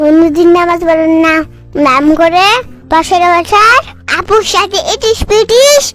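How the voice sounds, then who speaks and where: An extremely high, squeaky small child from a comic cartoon. The kid is cheeky and bossy, scolds and teases, and mangles words. Music plays behind the voice. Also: measured pace, fairly bright tone. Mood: teasing accusing.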